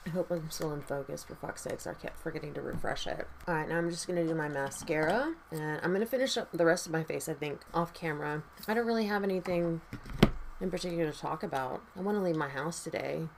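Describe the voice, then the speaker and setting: Low-voiced raspy woman, tired chatter. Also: quick pace, noisy background. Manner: rambling, chatty, swears casually. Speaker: makeup vlogger. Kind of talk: casual chat while doing makeup.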